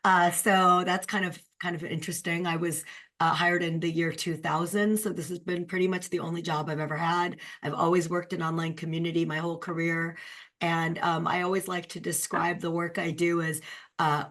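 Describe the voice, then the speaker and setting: A hoarse woman in her forties talks on a video call, her voice strained by laryngitis. Her voice is fairly low, and she speaks informally with small hesitations. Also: level tone, a bit bright. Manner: informal, a little halting. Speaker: community team lead. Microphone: computer mic on a video call.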